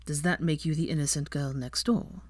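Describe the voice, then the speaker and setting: A woman voicing a rough, teasing young man who talks in a low, husky, growling murmur. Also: fairly even intonation, normal speed, darkish tone, dry recording. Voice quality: husky whisper.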